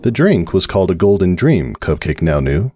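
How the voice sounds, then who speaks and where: A man narrating a dramatic audiobook reading in an expressive storytelling manner. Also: moderate pace, music in the background. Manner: expressive storytelling with shifting pitch.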